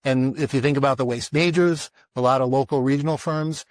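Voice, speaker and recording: Man on a conference panel, mid-pitch voice, restarts his sentences a lot, dry close sound. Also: steady tone, no room sound. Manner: quick, restarts phrases. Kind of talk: industry presentation.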